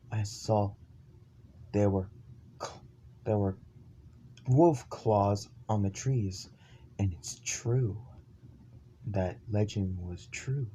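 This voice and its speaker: A man improvising an amateur campfire horror story in a halting, ominous manner. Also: on the low side, mellow, dull audio. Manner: halting, ominous, stumbling over phrases.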